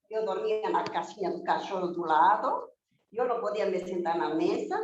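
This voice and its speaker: A woman who learned Portuguese as a second language, speaking with emphatic indignation and piling up rhetorical questions and lists. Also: mid-range voice. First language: not Portuguese, learned it on her own. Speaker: guest speaking for domestic workers. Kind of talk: impassioned spoken testimony. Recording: very echoey, dull lo-fi remote audio with faint noise.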